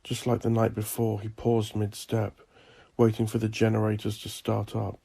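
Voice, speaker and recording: Low, mellow, gravelly man narrating horror. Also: completely flat delivery, moderate pace. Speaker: audiobook narrator. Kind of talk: scripted dark fantasy horror narration.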